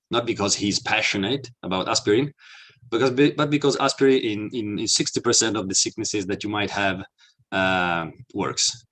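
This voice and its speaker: A man's voice: fairly low pitch, fairly bright tone. A man from Italy with an Italian accent, giving an earnest, explanatory webinar talk with frequent fillers and restarts. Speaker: webinar presenter, mobility consultant.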